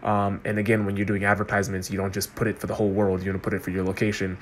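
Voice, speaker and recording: Fast-talking man giving a chatty demo. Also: on the low side, completely flat delivery, a little hiss or hum. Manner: quick, chatty, loose with restarts. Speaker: consultant demoing a chatbot. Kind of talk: casual screen-recorded walkthrough.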